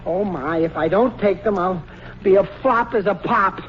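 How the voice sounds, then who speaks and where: A man's voice: high-pitched, normal speed, lightly acted. A middle-aged American man playing a hapless, henpecked, good-natured husband in an old radio sitcom, stammering and sheepish. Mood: reluctant, dismayed. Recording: muffled, noisy vintage radio recording.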